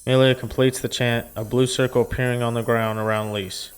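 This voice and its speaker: A man's voice: mid-range voice, little pitch movement, brisk, clean tone, faint music behind. A man reading a visual novel aloud as a gaming streamer, in a storytelling style with casual asides.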